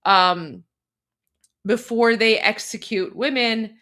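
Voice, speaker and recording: A woman's voice: a little high, slow pace, sharp tone. A woman hosting a casual livestream, chatty and full of fillers, Persian her mother tongue but speaking fluent English. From Canada. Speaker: livestream host.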